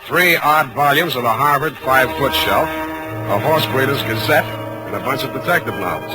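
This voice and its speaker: An actor playing a wisecracking, hardboiled private detective, dry and sardonic, in 1940s radio style. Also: male voice, medium pitch, natural voice, low-quality recording. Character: wisecracking, hardboiled male private detective narrating his case.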